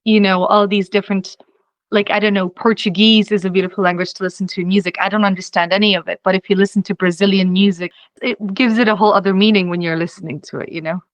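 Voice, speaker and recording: A woman's voice: mid-range voice, steady tone, fast talker, dry recording, low-quality recording. A young woman from Czechia, a singer-songwriter guest, talking casually in an interview, rambling with lots of "you know" and easy laughs.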